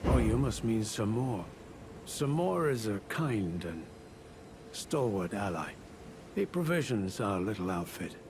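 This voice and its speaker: An actor playing a courteous, reverent fantasy follower of a demigod in a video game, speaking in formal, archaic phrases. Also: male voice, fairly low pitch, very animated, measured pace, background noise. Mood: kindly, courteous. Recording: loud music behind the voice. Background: loud music.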